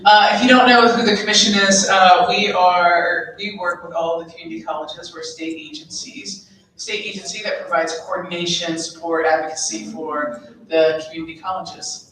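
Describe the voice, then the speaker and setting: Woman, self-deprecating, sharp tone, distant mic in a big room. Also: on the low side, fairly even intonation, moderate pace. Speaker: state commission executive director. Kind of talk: conference panel talk. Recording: echoey hall, mic at a distance.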